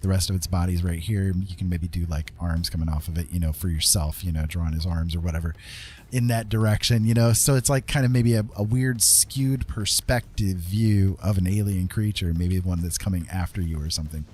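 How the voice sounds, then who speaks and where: A man's voice: low-pitched, fairly even intonation, fairly quick, darkish tone, a little hiss or hum. A man hosting a casual drawing tutorial, thinking aloud in a rambling, informal way with frequent tag questions. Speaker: art tutorial host.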